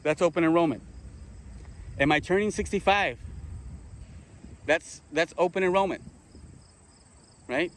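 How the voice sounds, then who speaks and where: Man drilling terms, slightly high voice. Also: a little slow, long pauses. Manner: repeats himself, self-corrects, rhetorical questions; drills terms with check-ins like okay. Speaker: insurance agent teaching other agents. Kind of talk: casual spoken lesson.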